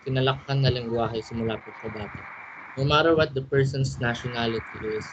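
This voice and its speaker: Man, Filipino accent, flat delivery, prepared points, muffled noisy recording. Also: medium pitch, fast talker. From Philippines. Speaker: student discussant. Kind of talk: student focus group discussion.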